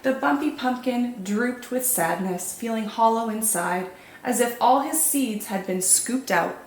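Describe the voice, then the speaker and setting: A woman hosting storytime, reading picture books in an expressive, animated way for young children. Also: on the high side, measured pace, echoey room, slight background noise, low-quality recording. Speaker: children's storytime host and reader. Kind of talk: expressive picture-book narration.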